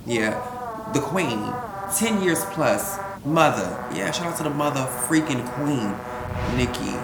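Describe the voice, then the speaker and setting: A man's voice: mid-range voice, gravelly. Slangy young man in an echoey room. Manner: candid, slangy, animated storytelling. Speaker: YouTuber answering viewer questions. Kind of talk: casual vlog Q&A. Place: room at home he records in. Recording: echoey room, faint background hum.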